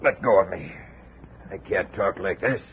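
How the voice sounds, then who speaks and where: An actor playing a nervous, evasive bartender in an old radio drama. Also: male voice, low-pitched, normal speed, gravelly, slight background noise. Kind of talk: 1950 radio drama acting. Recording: tinny old radio broadcast.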